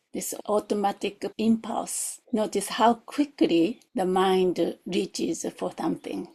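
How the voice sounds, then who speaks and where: Female meditation teacher. Slow, reflective, questioning delivery in short phrases; soft-edged, mellow tone with a creaky grain. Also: medium pitch. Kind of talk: guided meditation, gentle instruction.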